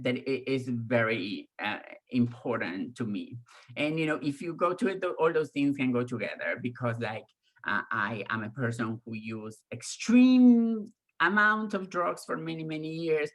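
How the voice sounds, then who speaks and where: A middle-aged woman from Argentina with an Argentine Spanish accent, giving a candid, profane talk to an online audience over a video call, with many fillers and pauses. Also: extremely low pitch. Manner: mocks others in raised voices when quoting them. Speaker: guest speaker.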